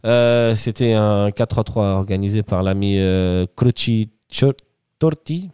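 Smooth-voiced man chatting football. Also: on the low side, unhurried, warm tone, no room sound, studio quality. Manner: jokey, many hesitations, reads team lineups. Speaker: podcast co-host. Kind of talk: casual football analysis chat.

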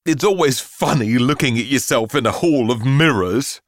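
A man's voice: mid-range voice, moderate pace, dry recording, studio quality, close to an everyday voice. A man voicing a jolly but long-suffering mother in a storybook, coaxing and effusive. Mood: nervously cheerful.

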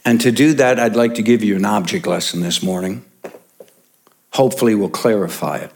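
A man's voice: fairly low pitch, a little fast, vocal fry, darkish tone, slight background noise. A male pastor preaching a sermon in church, stressing and repeating his main points for the congregation.

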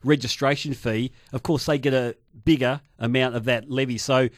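A man's voice: medium pitch, fairly even intonation, normal speed, no echo, clean studio sound. A man, a panelist on a sports radio show, laying out his argument in a conversational way.

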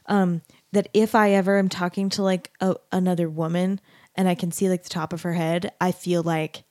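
A woman in her thirties hosting an interview, playful and quick to laugh. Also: on the low side, level tone, darkish tone, dry recording, clean studio sound. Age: 30s. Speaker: podcast host. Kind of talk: casual interview chat.

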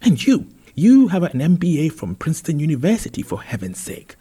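A man's voice: medium pitch, very animated, takes it slowly, a little mellow, husky, full character voice. A man voicing an exasperated wealthy father who scolds his grown son with emphatic indignation in an audiobook. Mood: exasperated, indignant.

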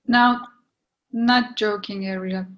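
A woman's voice: mid-range voice, fairly even intonation, slow, a bit bright. A woman playing a guarded, apologetic young woman who is torn and hesitant, in a readers theatre reading. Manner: hesitant, regretful.

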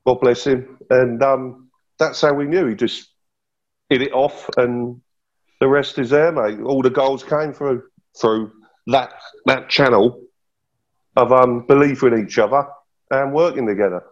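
A man's voice: mid-range voice, fairly even intonation, a little slow, pauses often, muffled recording. A man, a former footballer, talking in a matter-of-fact, matey way. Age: 50s. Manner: matter-of-fact, matey, says "mate" a lot. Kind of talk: casual reminiscing chat.

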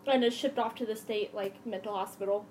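A woman's voice: mid-range voice, steady tone, brisk, darkish tone, a little hiss or hum, rough recording. A young adult woman hosting a podcast, telling a true crime story in a casual, conversational way.

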